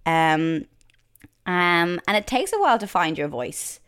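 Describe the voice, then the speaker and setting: Low-voiced Irish woman, chatty. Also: very animated, measured pace, fairly bright tone, clear, resonant tone. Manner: chatty, many fillers and restarts. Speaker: presenter and author, guest. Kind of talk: casual interview chat.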